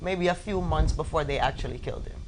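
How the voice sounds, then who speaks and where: A woman in her forties recounting a personal story in conversation, halting and repeating words for emphasis. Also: low voice, a little mellow, slight background noise, poor audio. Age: 40s. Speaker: interview guest, bereaved mother.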